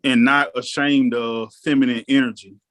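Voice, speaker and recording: A man in his thirties talks in a relaxed, thoughtful way on a casual podcast. His pitch sits in an ordinary middle range. He often pauses, filling the gaps with soft ums. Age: 30s. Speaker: guest co-host.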